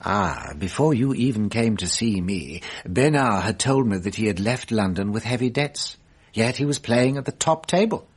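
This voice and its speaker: An actor playing a shrewd, self-assured French detective in a radio drama, musing deliberately with wry amusement. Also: male voice, on the low side, expressive, husky. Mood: wry, satisfied.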